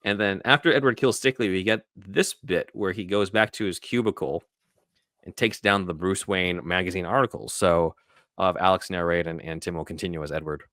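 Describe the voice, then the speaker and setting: A male podcast host with a deep voice. He talks casually and explains as he goes in a chatty film discussion, recorded very dry. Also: fairly quick, a bit bright, no room sound.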